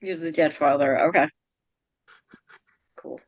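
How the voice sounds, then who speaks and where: A woman's voice: deep voice, animated, slow, slightly rough, no echo, highs cut off. A woman with a youthful-sounding voice hosting a casual podcast interview, chatty and rambling with frequent restarts. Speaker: podcast host.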